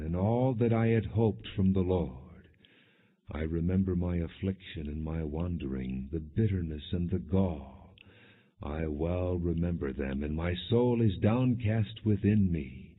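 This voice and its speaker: Male audiobook narrator, grave scripture reading, husky and unhurried, narrow tinny phone-like sound. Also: low voice.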